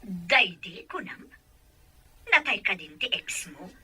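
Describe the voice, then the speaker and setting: A woman's voice: mid-range voice, very animated, slow, bright, forceful tone, breathy edge, exaggerated voice. A woman playing a jealous, easily offended partner in a serial drama. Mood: irritated. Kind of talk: Ilocano radio-style serial drama acting.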